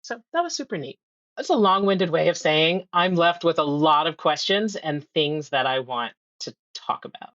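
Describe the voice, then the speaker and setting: A woman's voice: low voice, no echo, highs cut off. A middle-aged woman, a history professor, interviewing in a thoughtful, rambling way with many ums. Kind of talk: conversational interview.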